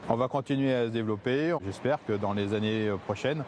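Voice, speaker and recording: A man interviewed outdoors about urban renovation, speaking in a brisk, matter-of-fact way. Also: mid-range voice, darkish tone, slight background noise.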